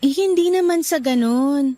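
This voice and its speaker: A woman's voice: high voice, normal speed, warm tone, close to an everyday voice. An actress playing a young Filipina girlfriend, coy and wary, in a Filipino radio drama. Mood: hesitant, uneasy. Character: Carol, young girlfriend, playful but wary after past abuse.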